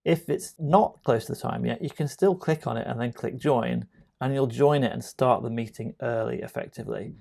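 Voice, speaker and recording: Low-key gravelly man giving a tutorial. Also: normal speed, mellow. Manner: conversational, explanatory, addresses viewer directly. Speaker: tutorial presenter. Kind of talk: informal software tutorial narration.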